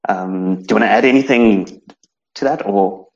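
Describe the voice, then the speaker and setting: A lecturer from South Africa with a South African English accent, talking through slides in an academic seminar, hesitant with many fillers and restarts. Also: male voice, on the low side, normal speed, gravelly, lo-fi sound.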